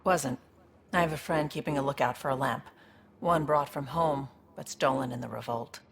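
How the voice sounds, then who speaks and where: Very low, gravelly tough survivor. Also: female voice, moderate pace. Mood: assured. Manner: blunt, informal, reflective. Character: tough, streetwise woman seeking a fresh, honest start. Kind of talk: video game voice acting.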